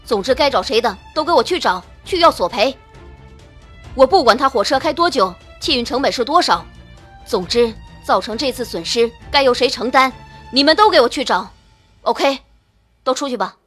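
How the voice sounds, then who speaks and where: A woman voicing a demanding, angry young female company boss in an audiobook, with a sharp, commanding, scolding manner. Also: high-pitched, expressive, moderate pace, slightly rough, faint music behind, full character voice. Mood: stern, commanding. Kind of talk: dramatic audiobook character acting.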